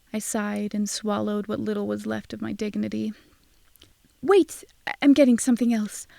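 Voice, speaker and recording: A woman's voice: mid-range voice, gravelly, mellow, dry recording. A woman playing a wry, cynical young fake psychic, narrating an audio drama in a sardonic, confiding way. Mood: feigned psychic earnestness. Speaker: first-person narrator and lead character.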